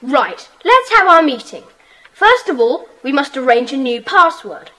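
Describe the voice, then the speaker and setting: A woman's voice: high-pitched, big pitch swings, sharp tone, exaggerated voice, rough recording. A woman playing a bossy, eager schoolboy who leads a secret society, in a children's audiobook drama. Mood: earnest, organising. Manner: bossy, earnest, brisk.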